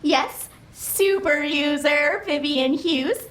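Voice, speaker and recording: A high, bright, sing-song computer assistant played by a woman, slow and deliberate, gliding up and down as it politely serves its users. Soft music runs underneath. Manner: chirpy, formal and deferential. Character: eager computer system, servile female AI.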